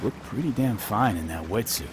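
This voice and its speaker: A cocky young male street mercenary played by an actor in a cinematic video game, with a lowish voice. He speaks in wry, clipped slang over clear ambient water noise. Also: animated, normal speed, lightly acted.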